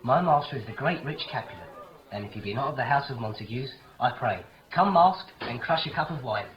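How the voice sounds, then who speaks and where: An actor playing a simple, perky, talkative household servant in a 1950s radio Shakespeare play. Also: male voice, medium pitch, animated, a little fast, lightly acted. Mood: cheery. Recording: muffled old radio recording.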